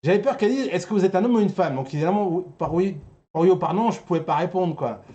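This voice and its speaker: Higher-pitched man hosting, jokey. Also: quick pace, warm tone, dull audio. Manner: jokey, teasing. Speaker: host. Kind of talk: casual banter on an anime news web show.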